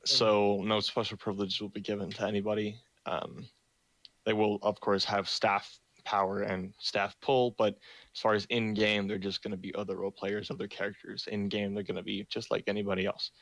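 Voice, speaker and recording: A man's voice: lowish voice, level tone, moderate pace, fairly bright tone, dry recording, poor audio. A young man hosting a roleplay community meeting over voice chat, reading the rules in a plain, self-described boring voice with many ums.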